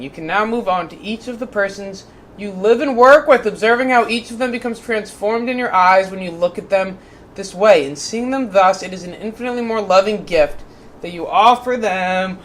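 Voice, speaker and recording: A vlogger who reads a book passage aloud in a raised, measured voice, then talks casually and bluntly with plenty of swearing and rambling fillers. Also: mid-range voice, a little hiss or hum. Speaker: video maker reading a book aloud.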